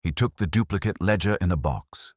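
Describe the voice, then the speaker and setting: Man as a frank, questioning police sergeant, lively pitch. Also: fairly quick, no room sound, clean studio sound. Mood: puzzled, frank.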